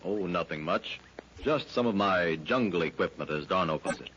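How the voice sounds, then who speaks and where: A calm, assured jungle hero speaks with a very deep voice that still moves around a lot. The old radio recording is dull, with a faint hiss. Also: male voice, moderate pace, breathy edge, exaggerated voice. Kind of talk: 1930s radio drama acting.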